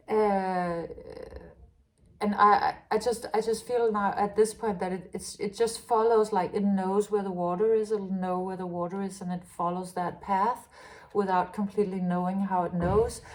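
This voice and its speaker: A woman from Denmark giving a tarot reading in a halting, musing way, with many restarts and fillers. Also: mid-range voice, fairly even intonation, darkish tone. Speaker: tarot reader. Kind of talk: spontaneous spiritual tarot reading.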